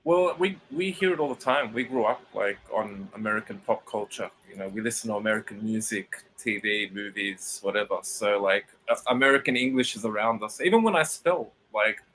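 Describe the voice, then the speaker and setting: Chatty Australian man, clear enunciation. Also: fairly low pitch, brisk, hardly any pauses, fairly bright tone, a little hiss or hum, rough recording. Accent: Sydney Australian, crisp enunciated. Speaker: livestream host. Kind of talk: casual live chat.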